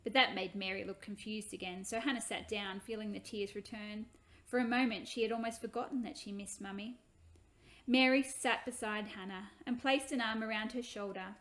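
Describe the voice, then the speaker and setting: A woman's voice: mid-range voice, fairly even intonation, moderate pace, fairly bright tone. A woman storyteller giving a dramatic reading of a children's book.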